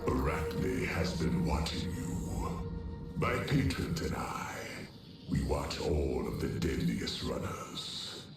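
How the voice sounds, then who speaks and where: Creepy death cult emissary in a game, really low solemn voice, blasting music underneath. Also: male voice, expressive, takes it slowly, gravelly, exaggerated voice. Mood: ominous reverence. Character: sinister male cult emissary reverent of death and killing. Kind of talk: dramatic video game cutscene monologue. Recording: heavy echo, very loud music. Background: very loud music.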